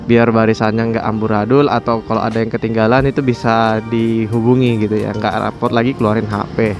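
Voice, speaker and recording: A man's voice: on the low side, level tone, a little fast, music in the background. A man talking casually to viewers as a motorcycle vlogger, explaining things conversationally and often checking in with his listeners. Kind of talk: casual motovlog talk to viewers.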